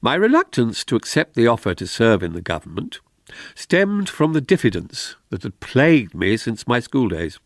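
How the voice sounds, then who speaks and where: An actor playing a diffident, bereft older politician in a radio drama, formal, measured and wistful. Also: male voice, lowish voice, no echo, clean studio sound, natural voice. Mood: formal, self-deprecating.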